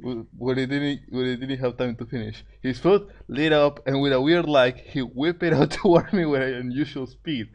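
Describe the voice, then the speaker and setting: A man's voice: mid-range voice, normal speed, hardly any pauses, darkish tone, highs cut off. A man co-hosting a story show, reading fan fiction aloud in casual amateur narration and stumbling over words.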